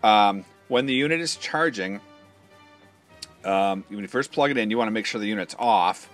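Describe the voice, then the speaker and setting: A man's voice: on the low side, normal speed, fairly bright tone, soft background music. A man demonstrating a product in an informal, matter-of-fact, step-by-step instructional style. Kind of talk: informal hands-on instructional walkthrough.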